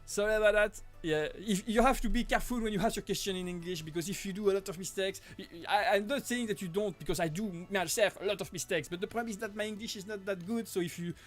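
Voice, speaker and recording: A man with a French accent, hosting a casual live-stream Q&A and answering viewer questions, with many halting restarts and self-corrections. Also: high voice, soft background music. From Switzerland. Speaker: live-stream host, prop maker.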